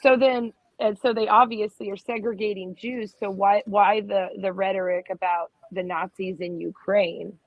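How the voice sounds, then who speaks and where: A woman's voice: medium pitch, fairly even intonation, moderate pace, hardly any pauses, no room sound, lo-fi sound. A woman in a casual group stream who jokes around and asks skeptical questions.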